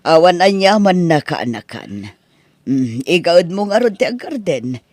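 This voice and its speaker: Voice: medium pitch, big pitch swings, a little slow, darkish tone, dry recording, clean studio sound. Someone playing a kind older aunt. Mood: reassuring. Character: kind older aunt, generous and warm-hearted. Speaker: aunt figure. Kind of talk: radio drama acting.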